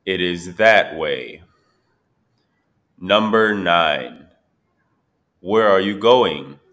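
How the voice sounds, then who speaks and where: Male test reader, very low voice, slow deliberate repetitions. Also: animated, fairly bright tone. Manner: repeats each item deliberately. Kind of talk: slow scripted exam dictation.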